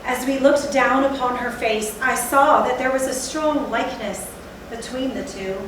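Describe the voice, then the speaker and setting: High-voiced woman as a haunted Gothic narrator, echoey hall. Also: normal speed, close to an everyday voice. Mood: somber, uneasy. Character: haunted, fearful narrator recalling a night of horror. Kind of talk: theatrical Gothic storytelling in readers theatre. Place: theatre stage, recorded from a distance. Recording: echoey hall, distant mic, faint noise.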